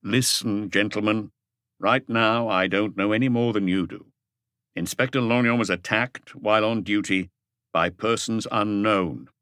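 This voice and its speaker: A man reading the part of a calm, authoritative police chief inspector in an audiobook, measured and matter-of-fact. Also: lowish voice, smooth, no room sound, studio quality. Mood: firm.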